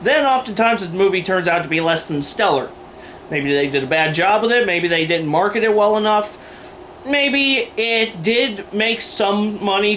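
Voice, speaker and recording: A man's voice: high voice, a bit bright. A man hosting a video, delivering scripted opinion commentary in a sarcastic, emphatic way. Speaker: video host. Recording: noisy room recording.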